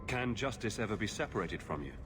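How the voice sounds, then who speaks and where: An actor playing a young, dry-witted fantasy adventurer hero in a video game, wry and flippant. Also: male voice, lowish voice, lively intonation, fast talker, background noise, close to an everyday voice. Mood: skeptical, curious. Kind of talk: video game character acting.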